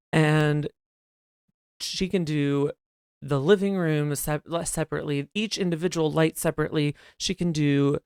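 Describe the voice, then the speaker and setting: A man co-hosting a casual podcast chat, talking in a soft, breathy voice that listeners call soothing, telling stories animatedly. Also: fairly high pitch, no room sound, clean studio sound. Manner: chatty, animated storyteller. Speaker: podcast co-host.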